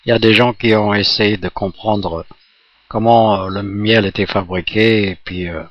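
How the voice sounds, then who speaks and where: A man's voice: fairly low pitch, fairly even intonation, moderate pace, dry recording, muffled recording. A jovial man chatting casually as an amateur radio operator, talking in a rambling, hesitant way.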